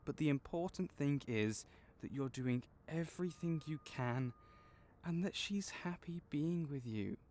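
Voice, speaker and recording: A man playing a kind, patient veterinarian for magical creatures in a comforting audio roleplay, explaining things gently and encouragingly. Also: on the high side, expressive, a little slow, slight background noise. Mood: firm, reassuring. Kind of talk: comforting audio roleplay, one-sided conversation.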